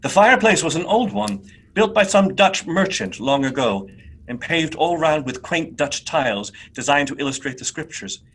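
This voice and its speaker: A man narrating a radio play as a dramatic storyteller, with theatrical, expressive and deliberate phrasing. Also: on the high side.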